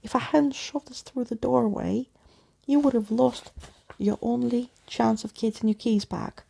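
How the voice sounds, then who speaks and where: Woman putting on a bossy, creaky-voiced sneering girl. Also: a little high, unhurried, dark tone, exaggerated voice. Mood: exasperated. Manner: scornful, snappy, insulting. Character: bossy, sneering, mischievous young storybook girl with a temper.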